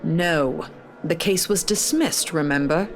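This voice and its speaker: A woman's voice: low-pitched, takes it slowly, soft background music. A woman playing a blunt, world-weary police detective in a visual novel game. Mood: curt.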